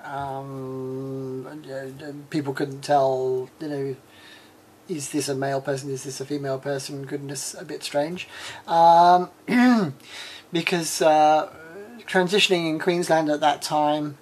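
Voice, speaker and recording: A woman in her forties from the UK, with an English accent turned slightly Australian, chatting frankly in a casual vlog and often pausing with ums and uhs. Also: sits low, a little mellow. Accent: English with a slight Australian tinge. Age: 40s. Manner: rambling, many ums and uhs. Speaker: vlogger.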